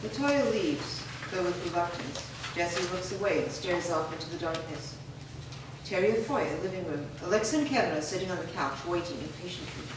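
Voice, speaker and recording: A woman's voice: on the low side, expressive, normal speed. A woman reading stage directions as scripted narration. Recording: echoing room, distant mic, clear background noise.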